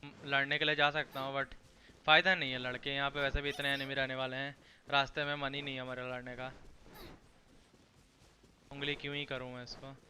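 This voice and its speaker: A man's voice: mid-range voice, flat delivery, racing, pauses often, bright, forceful tone, a little hiss or hum. A young adult man from India chatting casually as a gaming streamer, informal and mixing in English words. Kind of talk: casual gameplay chat.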